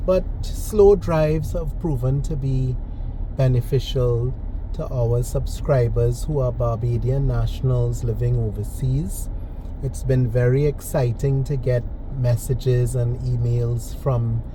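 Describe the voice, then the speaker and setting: A man hosting a driving tour, narrating casually as he drives, polite and measured, carefully correcting himself as he goes. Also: medium pitch, steady tone. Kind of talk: unscripted narration while driving. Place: inside a moving car. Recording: loud road and engine noise.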